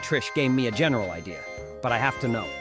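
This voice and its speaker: A man's voice: medium pitch, lively intonation, fast, music in the background, natural voice. A man playing a protective, hot-headed teenage athlete in a visual novel dub, casual and emphatic in his emotional delivery. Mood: agitated.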